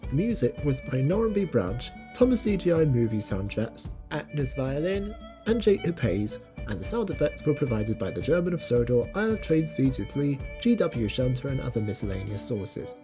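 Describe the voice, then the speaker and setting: Animated credits announcer over guitar music. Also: mid-range voice, normal speed. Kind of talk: scripted end credits announcement.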